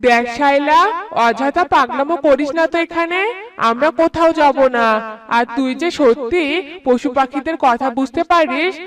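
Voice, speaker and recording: Brief: cartoon village aunt, actress, high voice, sceptical and blunt, a bit distant on mic. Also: female voice, normal speed, lightly acted. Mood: scornful. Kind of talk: Bengali children's cartoon dub.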